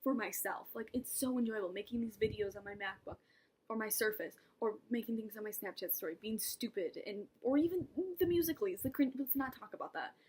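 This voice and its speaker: A woman's voice: medium pitch, animated, a little fast, a little mellow, slightly rough. A young woman talking casually to camera in a vlog, rambling and self-mocking, with laughs and whispered asides. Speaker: vlogger.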